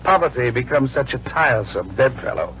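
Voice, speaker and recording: A man's voice: mid-range voice, lively intonation, fairly quick, natural voice. A man playing the Devil as a sly, bargaining tempter with mocking, coaxing politeness, in 1940s radio drama style. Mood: mocking, persuasive. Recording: muffled, noisy old radio broadcast.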